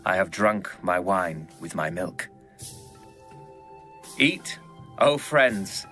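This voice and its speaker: A man giving a solemn, measured, scripted reading of poetic scripture. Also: low-pitched, expressive, pushed and bright, soft background music.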